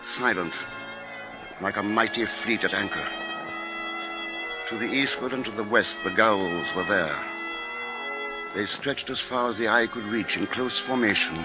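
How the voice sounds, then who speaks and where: Low-voiced, grave radio drama writer-narrator. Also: male voice, low-quality recording. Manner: measured, dramatic, deliberate narration. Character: anxious writer narrating a seaside bird menace. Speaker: narrator and lead actor. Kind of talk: 1950s radio drama acting. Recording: old 1950s radio recording with music.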